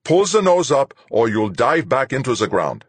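A man voicing a sharp, commanding male spaceship captain in an audiobook, barking insistent orders. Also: fairly high pitch, expressive, takes it slowly, no room sound, voice pushed up, exaggerated voice. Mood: sharp, urgent. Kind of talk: audiobook character dialogue.

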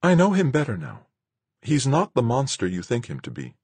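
Guy doing a firm sharp woman crew member for an audiobook, defiant, pitched way up, kinda breathy. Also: huge pitch swings, normal speed, no room sound. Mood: certain.

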